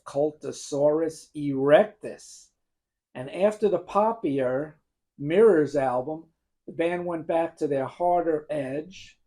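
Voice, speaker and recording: Gruff-voiced man ranking albums. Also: fairly high pitch, mellow. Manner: enthusiastic fan, listing songs and credits. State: gruff, strained voice. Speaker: music video host ranking albums. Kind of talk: casual talk to camera.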